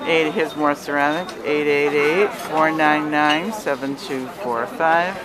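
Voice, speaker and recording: A woman's voice: low-pitched, takes it slowly, rough recording. A woman hosting a cheerful, chatty walking tour of an outdoor Christmas market, filmed on a handheld phone camera. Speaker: travel agent and host. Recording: busy crowd noise around. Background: crowd chatter.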